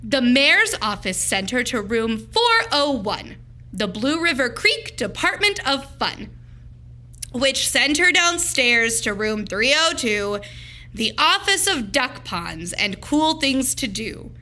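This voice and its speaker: Bright, high-voiced woman reading storytime. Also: sing-song. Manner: dramatic, deliberate phrasing for young children. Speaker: teacher reading a storybook aloud. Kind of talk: expressive children's storytime read-aloud.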